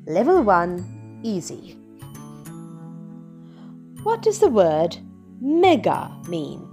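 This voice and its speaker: A woman narrates scripted words and questions for children. Her voice is mellow and varied in pitch, and her phrasing is very slow and deliberate, over faint music. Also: huge pitch swings. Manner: deliberate, clearly separated phrases. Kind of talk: scripted vocabulary list and questions.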